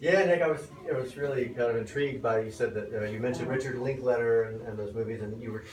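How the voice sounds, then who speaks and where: A man's voice: medium pitch, fast, a little mellow. A man in casual discussion, asking a question as an audience member and circling hesitantly around his phrasing. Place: bookstore event room. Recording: echoey room, distant, faint background noise.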